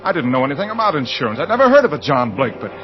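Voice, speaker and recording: Bewildered, brooding young American amnesiac, suspense radio narrator, lo-fi vintage sound, music underneath. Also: male voice, a little high, sing-song, racing. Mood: brooding, uneasy. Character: bewildered, anxious amnesiac young male mystery writer. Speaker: lead actor and narrator. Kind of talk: old-time radio drama acting, first-person suspense narration. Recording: dull old radio recording, music behind.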